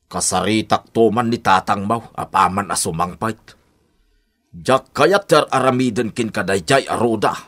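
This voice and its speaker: A man's voice: on the low side, normal speed, fairly bright tone. An actor playing a protective, indignant old grandfather in a radio drama, firm and grumbling. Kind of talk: Ilocano radio drama acting.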